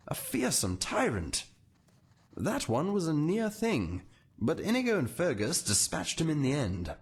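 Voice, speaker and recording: A man's voice: a little high, husky, heavily acted. A man playing a snooty recluse painter. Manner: pompous, aloof, dry disdain. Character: haughty reclusive male painter, condescending.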